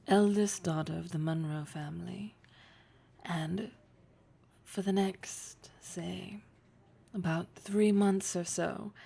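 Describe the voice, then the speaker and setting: A woman playing a poised, teasing, wealthy female boss in an intimate, breathy audio roleplay, speaking with deliberate, flirtatious formality. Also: fairly low pitch, mellow, exaggerated voice. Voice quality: breathy, husky.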